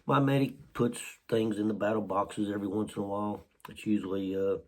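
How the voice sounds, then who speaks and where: A man hosting a casual unboxing vlog while sick with a cold, hoarse, halting, often clearing his throat, with a Southern US accent. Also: fairly low pitch, steady tone, darkish tone. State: sick with a cold, voice partly lost. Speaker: channel host.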